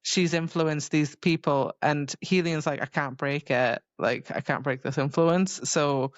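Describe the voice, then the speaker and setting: A woman's voice: low voice, fairly even intonation, a little slow, no echo. A woman co-hosting a podcast in casual chat about a book, on a slightly muffled recording. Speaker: podcast co-host. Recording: dull, muffled close recording.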